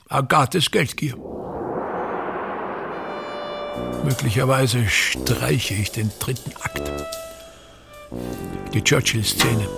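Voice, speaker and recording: An actor playing a pompous, self-pitying aging actor-playwright in a radio drama, declaiming in brooding, halting fragments with grand scorn and a gravelly voice. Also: male voice, medium pitch, heavily acted. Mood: disdainful. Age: older. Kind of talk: theatrical radio drama monologue.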